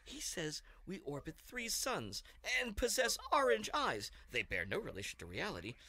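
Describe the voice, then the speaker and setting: Scheming alien lackey, sci-fi comedy audio play male actor: florid, servile, forceful bright tone, hugely animated pitch. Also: moderate pace, no room sound, full character voice. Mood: fawning report. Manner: pompous, obsequious, grandiloquent. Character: scheming flattering alien underling reporting to his ruler.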